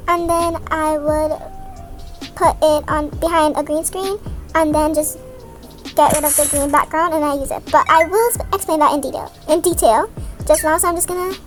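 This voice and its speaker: Young woman doing a casual tutorial voiceover, really high voice, music in the background. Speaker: video creator and host.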